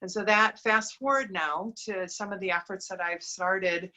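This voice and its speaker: A woman's voice: mid-range voice, fairly even intonation, moderate pace, sharp tone. A middle-aged woman with a Wisconsin accent, talking upbeat and at length as a guest on an interview podcast. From Wisconsin, USA. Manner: upbeat, talkative, frequent affirming murmurs. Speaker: guest, diversity recruiting business owner.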